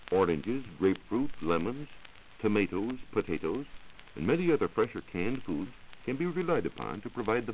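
An American man giving a scripted, measured science talk as a reporter on a 1940s radio show. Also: lowish voice. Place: radio studio. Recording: muffled 1940s radio recording with hiss.

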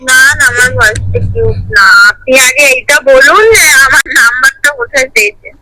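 A woman's voice: sits high, animated, a little fast, bright, forceful tone, no room sound, rough recording. A woman in her twenties on the phone, answering a prank caller with sarcastic comebacks. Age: 20s. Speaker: prank call target. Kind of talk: phone conversation.

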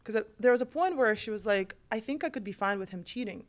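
A woman from Russia, a guest on a podcast giving calm advice in casual chat. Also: mid-range voice, fast. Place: podcast studio set. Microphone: boom mic on arm.

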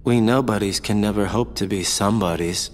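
Man doing a scheming villain, smooth mocking tone, lowish voice, long reverb. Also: a little fast, faint music behind, natural voice. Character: cold scheming male nobody, adult. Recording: game audio with long reverb.

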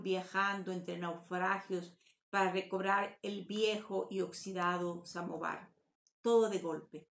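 An older woman with a Mexican Spanish accent, reading a prepared conference paper in a measured, formal way over a video call from her home study. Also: mid-range voice. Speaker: academic conference speaker. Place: home study with bookshelves, indoors. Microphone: webcam on video call. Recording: roomy echo.

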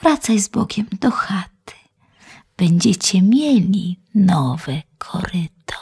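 Magic golden fish, polite, thin breathy whisper, slow. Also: female voice, mid-range voice, expressive, a little mellow, full character voice. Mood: gentle. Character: gentle magical golden fish, small female.

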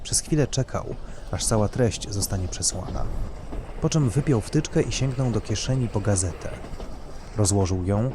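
A man's voice: on the low side, normal speed, noisy background. A man narrating a scripted dramatic story as its reader.

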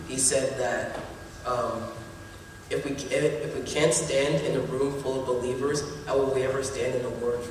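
A young man giving his testimony before baptism, earnest and halting with many fillers. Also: mid-range voice, steady tone, darkish tone, a little hiss or hum. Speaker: baptism candidate. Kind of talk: personal testimony. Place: church stage in baptism tank. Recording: echoey hall from far off.

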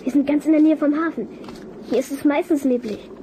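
A woman acting a young boy sidekick in a radio play, with a high but mellow voice. The kid is observant and eager, pressing points insistently. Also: a little fast, a little hiss or hum, close to an everyday voice, low-quality recording. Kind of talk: German audio drama acting.

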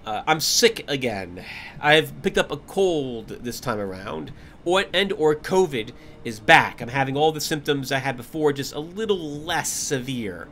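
A man talks to his gaming audience from his computer at home, rambling and candid, with restarts and small laughs. His voice is bright and lively, sitting a little higher than most, but he is stuffy from a cold. A faint hiss runs under the recording. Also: a little slow. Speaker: gaming channel host. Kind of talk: casual talk to viewers over gameplay.

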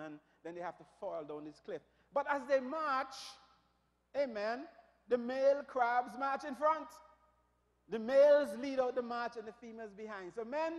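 A man preaching a New Year sermon as pastor, his voice a little raspy from shouting, rising from quiet prayer to loud declarations. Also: very high voice. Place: church. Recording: long reverb of a church hall.

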